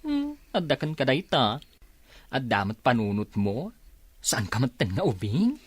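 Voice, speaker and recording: A woman's voice: very deep voice, wildly swooping pitch, measured pace, breathy edge, no echo, exaggerated voice. A woman playing a frank, concerned confidante with a questioning, chiding manner in a radio drama. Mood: teasing, skeptical.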